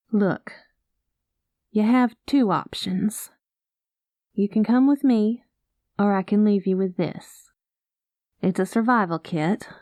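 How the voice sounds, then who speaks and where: A woman playing a rogue space pilot in an ASMR roleplay, talking close to the mic in a soft, folksy cowboy drawl that drops into whispers. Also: mid-range voice, no room sound. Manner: soft-spoken, slips into whispers. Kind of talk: ASMR roleplay, spoken to the listener.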